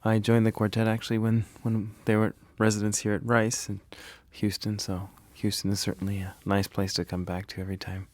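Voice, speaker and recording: A man from New York City, a second violinist guest, introducing himself in a friendly, slightly halting way in a radio studio. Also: on the low side, monotone, darkish tone. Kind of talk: interview self-introduction.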